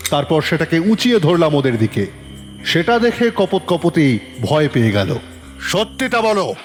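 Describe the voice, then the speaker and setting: A man narrating a detective story dramatically in the first person, turning stern when he questions as the policeman. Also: high voice. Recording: long reverb, faint music behind.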